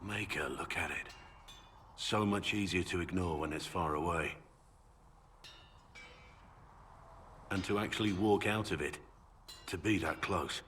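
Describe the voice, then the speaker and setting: An actor playing a gruff, seasoned warrior recruiter in a fantasy game, speaking in a blunt, earnest, plain-spoken way. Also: male voice, sits low, normal speed, fairly bright tone, slight background noise. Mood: somber, pondering. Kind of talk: dramatic fantasy game dialogue. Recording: background music under the voice.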